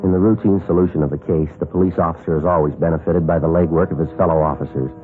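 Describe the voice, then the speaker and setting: A man plays a businesslike American police detective in 1950s radio drama. The voice is deep and quick, the delivery clipped and deadpan. The recording sounds old and muffled. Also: faint music behind, close to an everyday voice. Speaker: lead actor and narrator of a police radio drama.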